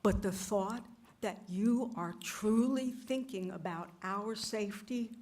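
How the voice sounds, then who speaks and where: A woman speaks gently and sincerely during public comment. Her pitch sits in the middle of the usual range. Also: takes it slowly. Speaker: resident giving public comment. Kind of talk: public comment at town meeting.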